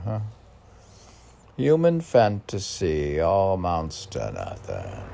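A man reading poems aloud in a rambling manner, with muttered musing asides and questions to himself, in a gravelly voice. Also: lowish voice, dark tone, slight background noise. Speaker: poet reading own poems.

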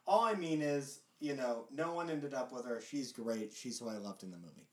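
A man's voice: mid-range voice, expressive, normal speed. A man in his thirties co-hosting a podcast, speaking in casual chat with a dry, teasing manner. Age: 30s. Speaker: podcast co-host. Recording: roomy echo, mic set back.

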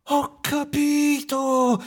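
A man's voice: extremely high pitch, little pitch movement, drawn-out words, voice pushed up, exaggerated voice. A man voicing a frightened, blustering old carpenter who stammers and talks to himself in a storybook reading. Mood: forced cheer. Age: older.

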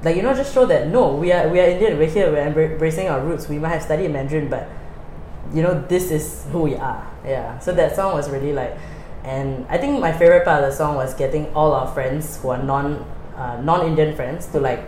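A woman's voice: low voice, fairly quick, darkish tone, a little hiss or hum. A young woman with a Singaporean English accent, chatting as an interview guest, laughing often and full of fillers. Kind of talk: casual interview chat. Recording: roomy with faint ambience.

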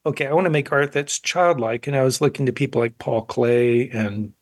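A man in his sixties talking reflectively in an interview, thoughtful and halting as he searches for words. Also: medium pitch, no room sound, studio quality. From grew up in South Korea as child of missionaries. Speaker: guest, painter.

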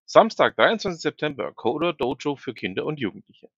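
A man's voice: fairly high pitch, very animated, a little fast, fairly bright tone, husky, dry recording, lo-fi sound. A man hosting a regional news podcast, reading items with informal asides to listeners, his speech a bit slurred from a numb mouth after the dentist. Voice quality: slightly slurred articulation. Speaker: newsletter podcast host.

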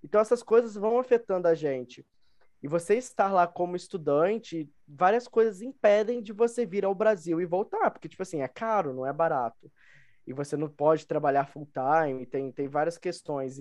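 A man's voice: fairly high pitch, fairly quick, a little mellow. A young man with a Brazilian Portuguese accent telling personal stories casually as a podcast guest, emphatically repeating his phrases. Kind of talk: casual conversational storytelling.

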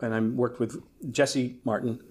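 Animated man telling stories. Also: mid-range voice, a little slow. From Greek family. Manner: animated, acts out quotes in raised voices. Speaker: actor guest. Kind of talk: casual storytelling chat.

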